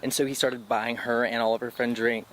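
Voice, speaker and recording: A man's voice: medium pitch, flat delivery, brisk, crowd noise, poor audio. A young man from the Los Angeles area, a musician being interviewed, talking casually and loosely with many fillers and easy laughs. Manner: laid-back, laughs easily, many fillers. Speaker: musician guest. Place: outdoors on a sidewalk.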